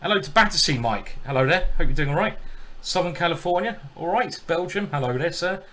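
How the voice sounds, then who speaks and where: A man's voice: on the high side, expressive, a little fast, fairly bright tone. A man giving casual, jokey live-stream commentary on his own chess game, greeting viewers as he thinks aloud.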